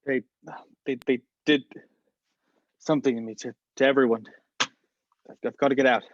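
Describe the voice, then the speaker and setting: A man acting a shaken, defiant spy in a table read, halting and understated. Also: mid-range voice, steady tone, dry recording, natural voice. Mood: horrified. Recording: dull, dry lo-fi call audio.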